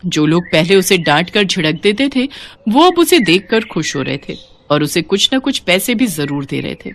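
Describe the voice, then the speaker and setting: A woman narrating a scripted children's story in an expressive storytelling style. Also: fairly low pitch, moderate pace, a little hiss or hum.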